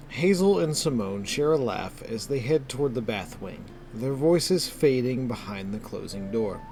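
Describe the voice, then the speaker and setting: A man narrating a scripted fantasy story in a measured, descriptive storytelling manner. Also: medium pitch, animated, a little mellow, slight background noise.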